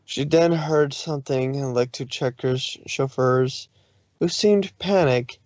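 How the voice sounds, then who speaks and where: Male fan fiction narrator, dramatic scripted read, mid pitch, slightly muffled and dry. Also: takes it slowly, darkish tone, no echo.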